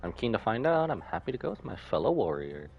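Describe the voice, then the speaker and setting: Deep-voiced, animated male game streamer. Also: fast, vocal fry, slightly rough, muffled recording, soft background music. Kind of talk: casual live game commentary.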